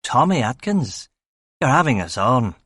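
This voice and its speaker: A man reading aloud and playing a gruff, worn-out inspector, clipped and sardonic. His voice sits low and plain, close to the narrator's own, with a dry, close sound. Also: very animated, a little slow, fairly bright tone, no echo, full character voice. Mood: curt. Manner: curt and sardonic. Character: gruff, weary male police inspector.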